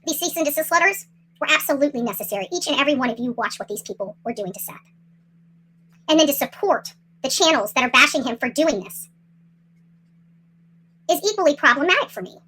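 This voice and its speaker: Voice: high-pitched, lively intonation, fast, pauses often, bright, forceful tone, slight background noise, poor audio. A livestream host on an impassioned rant, upset and confrontational, speaking emphatically with salty language and repeated phrases. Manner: salty, swearing. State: upset, agitated. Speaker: livestream host commenting on a missing-person case.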